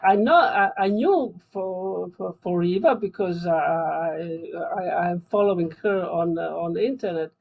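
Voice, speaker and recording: Croatian woman on a video call, slow, gravelly voice, dull lo-fi sound. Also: lowish voice. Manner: slow, warm, laughs between phrases. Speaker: meeting participant. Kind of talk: casual video call talk.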